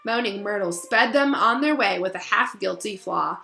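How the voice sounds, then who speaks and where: A woman reading a storybook aloud as narrator, expressive, with casual asides to her listeners. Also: mid-range voice, moderate pace, vocal fry, soft background music.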